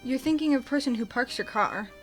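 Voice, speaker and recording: A woman playing a blunt, deadpan young detective daughter in an audio comedy. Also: sits high, quick pace, soft background music, close to an everyday voice. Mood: dry, snappy. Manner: deadpan, snappy.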